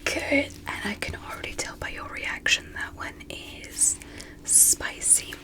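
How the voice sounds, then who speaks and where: A woman voicing a gentle, curious alien doctor in a sci-fi ASMR medical roleplay, whispering in a soothing, polite and encouraging way. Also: unhurried, natural voice. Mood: calm, attentive.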